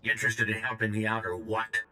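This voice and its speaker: A man's voice: lowish voice, level tone, fast talker, pushed and bright. A man playing a brash, slangy reprogrammed droid in a video game, talking bluntly and informally with a robotic edge. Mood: brash, urgent. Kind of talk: video game character dialogue.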